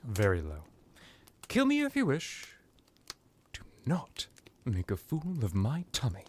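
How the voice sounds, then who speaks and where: A man's voice: medium pitch, huge pitch swings, slow pace, a little mellow, slight background noise. A man playing an ancient vampire lord in an ASMR roleplay, seductive, teasing and menacing, with a theatrical delivery that drifts into whispers. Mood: petulant, wry.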